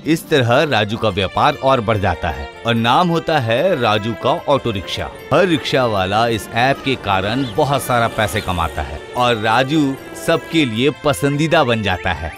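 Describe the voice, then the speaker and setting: A man's voice: medium pitch, expressive, measured pace, few pauses. A man narrating a scripted cartoon story. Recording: music behind the narration.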